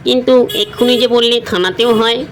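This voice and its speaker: A man's voice: very high voice, steady tone, a little fast, a bit bright, faint music behind. A man voicing the death god's naive, literal-minded scribe in a comic cartoon dub, earnestly pestering with questions. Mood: puzzled, insistent. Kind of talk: comic Bengali cartoon dubbing.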